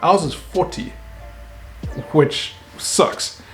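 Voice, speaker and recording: A man's voice: high voice, very slow, a bit bright, soft background music. A South African man in his thirties chatting casually about cars as a host. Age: 30s. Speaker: game show host and car YouTuber.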